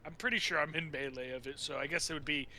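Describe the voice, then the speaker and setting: Bright, higher-pitched man playing a tabletop game. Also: fairly even intonation, slight background noise. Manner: halting, rambling, thinking aloud with mild swearing. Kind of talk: casual chat during a tabletop game.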